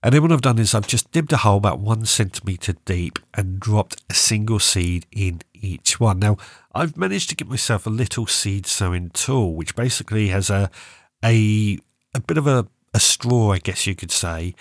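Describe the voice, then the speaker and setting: A man with a lowish voice talks through his gardening plans on a solo podcast, sounding bunged up with a cold. He rambles and corrects himself as he goes, recorded close and dry with no room sound. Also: expressive, moderate pace, no echo. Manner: rambling, self-correcting, chatty. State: congested, sore throat and cough. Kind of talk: casual solo podcast chat.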